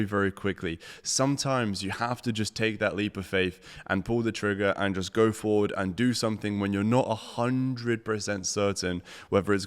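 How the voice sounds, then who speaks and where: Lower-voiced male host in a reverberant room. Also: clean tone. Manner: upbeat, promotional, long-winded questions. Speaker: host, agency mentor. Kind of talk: casual interview.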